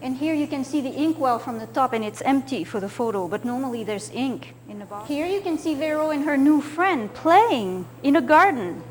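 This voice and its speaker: A woman in her sixties from France, a children's author gently explaining a slideshow of pictures to young listeners. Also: high-pitched, lively intonation, brisk, hardly any pauses.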